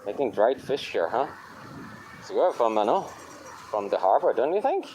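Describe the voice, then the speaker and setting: A man's voice: mid-range voice, big pitch swings, normal speed, darkish tone, a little hiss or hum, poor audio. A man vlogging outdoors with a handheld camera, chatting casually as he walks a city beach, mixing English with a few Tagalog phrases. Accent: non-native Tagalog accent. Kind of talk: casual walking vlog commentary. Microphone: handheld camera, filming while walking.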